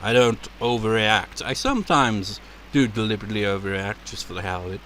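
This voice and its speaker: A man with a deep voice giving casual, rambling commentary as a let's play host, laughing and swearing as he goes. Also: a little fast, pushed and bright, slight background noise. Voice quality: naturally deep voice.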